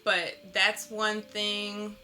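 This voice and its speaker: A woman's voice: medium pitch, monotone, drawn-out words, crowd noise. A woman speaking informally and reflectively in a casual interview as a visual artist, often saying "like". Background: instrumental lo-fi music.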